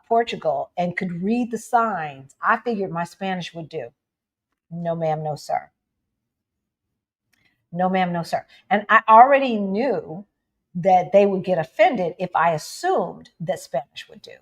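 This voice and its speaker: A woman from the United States talks casually to her livestream viewers. She is animated and laughs often, with a mid-range voice. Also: medium pitch. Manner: animated, laughing, addresses audience as y'all. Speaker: livestream co-host.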